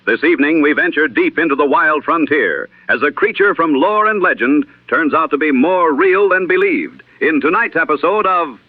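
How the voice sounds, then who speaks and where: A man's voice: on the high side, lively intonation, moderate pace, no echo, phone-quality sound. A man announcing a radio drama in a scripted introduction, with a thin vintage radio sound.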